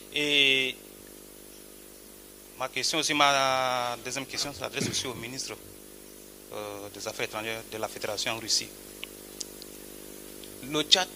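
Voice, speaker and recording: A man asking a question at a press conference as a journalist, halting and hesitant. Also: medium pitch, pushed and bright, slight background noise. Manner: hesitant, halting, many fillers.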